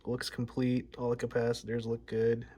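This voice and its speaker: A man's voice: medium pitch, monotone, normal speed, dark tone, husky. An American man talking informally and thinking aloud as he narrates a hands-on hobby repair video. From United States, Midwest. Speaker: radio hobbyist presenter.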